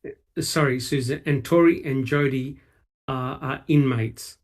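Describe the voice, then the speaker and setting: A man on a discussion panel, asking questions and making points in a careful, deliberate way. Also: medium pitch.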